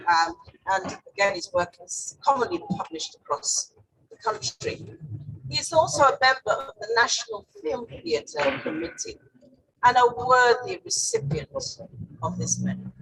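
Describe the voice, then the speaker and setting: A woman's voice: sits high, huge pitch swings, unhurried, vocal fry, a bit bright, breathy edge. A woman hosting a medal ceremony, introducing each recipient with upbeat praise. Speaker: ceremony host. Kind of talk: ceremony announcing. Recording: distant mic, faint noise.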